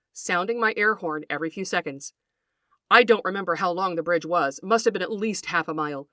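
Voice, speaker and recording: A middle-aged woman hosting a podcast, reading a scripted narration in an articulate, deliberate storytelling way and speaking directly to her listeners. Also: medium pitch, a bit bright, no room sound, clean studio sound. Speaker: podcast host, writer and folklorist.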